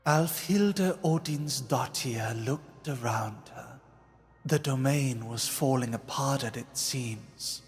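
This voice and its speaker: A man's voice: mid-range voice, takes it slowly. A man narrating a fantasy tale in a dramatic, scripted style, solemn and portentous. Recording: long reverb, music behind.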